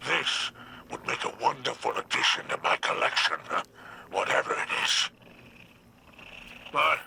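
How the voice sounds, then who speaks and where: A man playing a sly, greedy alien crime boss in a video game, with a gravelly voice and a wry, self-important drawl. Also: mid-range voice, bright, forceful tone, a little hiss or hum, exaggerated voice, rough recording. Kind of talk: video game character acting.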